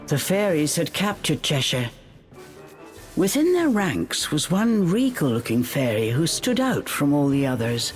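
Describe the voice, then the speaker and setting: A woman playing a storybook narrator, telling a fairy tale in a video game in a measured, dramatic way. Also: low-pitched, big pitch swings. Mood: suspenseful. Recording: music behind the narration.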